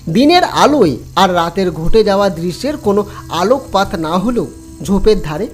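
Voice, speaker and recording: A male storyteller delivers dramatic horror narration with lively, wide-swinging intonation. Music plays behind the scripted telling. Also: high voice, moderate pace, slightly rough.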